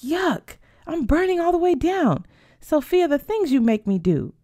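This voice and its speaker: A woman reading the part of a lively, excitable teenage girl in a storybook, exclamatory and dramatic. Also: fairly high pitch, normal speed, no room sound, studio quality, voice pushed up, close to an everyday voice. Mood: disgusted.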